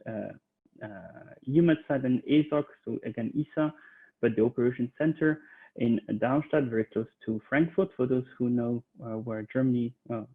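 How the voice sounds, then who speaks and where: Man from Switzerland, recruiter presenting from home, halting delivery full of fillers, thin phone-like audio. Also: medium pitch. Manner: hesitant, many fillers and restarts. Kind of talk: online conference presentation. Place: home office.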